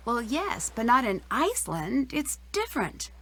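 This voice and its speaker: A woman's voice: a little high, big pitch swings, normal speed, sharp tone, full character voice. An actress playing a plucky young female detective, talking conversationally in a video game. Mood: cheered up. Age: young adult.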